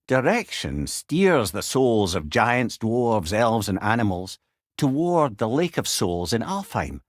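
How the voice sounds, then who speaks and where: Old scottish wise companion from a video game, wry n chatty, voice goes up and down a lot, super dry sound. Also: male voice, mid-range voice, no echo. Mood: solemn, explaining. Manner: wry, eloquent, lilting storyteller's delivery. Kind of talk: video game character dialogue.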